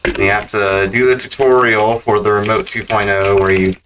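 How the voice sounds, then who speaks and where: A man's voice: on the low side, fairly even intonation, moderate pace, a bit bright. A man giving casual gameplay commentary as a speedrun streamer. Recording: keyboard clicks and background noise.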